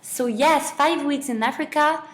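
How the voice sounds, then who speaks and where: Young woman with a higher-pitched voice. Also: unhurried. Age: young adult. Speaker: student interview guest. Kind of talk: semi-prepared radio interview answers.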